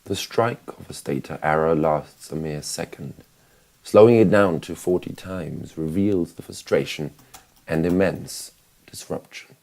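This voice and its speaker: A man voicing a solemn, measured nature documentary narrator in a scripted parody. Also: extremely low pitch, gravelly, a little mellow, exaggerated voice.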